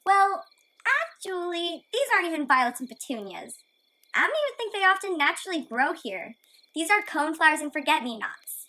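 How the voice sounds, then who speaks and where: A woman plays a plucky radio-play heroine, a girl with a very high, bright voice. She talks in a chatty, gently corrective way and stays optimistic. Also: very animated, normal speed, heavily acted. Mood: matter-of-fact. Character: plucky, optimistic girl heroine who contradicts her narrator.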